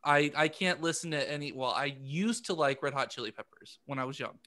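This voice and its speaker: Bright, higher-pitched man thinking aloud. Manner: halting, self-deprecating, repeats words as he searches for thoughts. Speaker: podcast co-host and amateur musician. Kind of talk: casual group chat.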